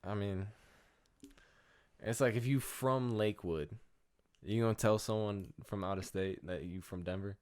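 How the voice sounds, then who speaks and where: A man's voice: on the low side, measured pace, darkish tone. A young American man talking casually among friends in a slangy, conversational way. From Michigan, United States.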